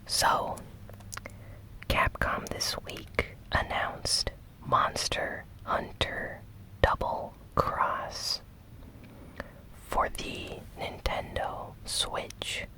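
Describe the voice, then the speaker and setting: ASMR news host. Breathy whisper throughout, crisp bright edge, gentle deliberate phrasing. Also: low-quality recording. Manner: slow, gentle, deliberate delivery with short phrases. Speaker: host of a gaming news show.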